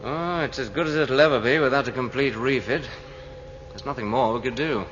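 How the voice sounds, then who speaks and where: A sceptical male starship navigator played by an actor, with a mid-pitched, forceful voice. He is terse, dry and challenging. The radio drama audio is slightly muffled. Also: brisk, slight background noise, lightly acted. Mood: wry, weary. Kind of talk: science-fiction radio drama acting.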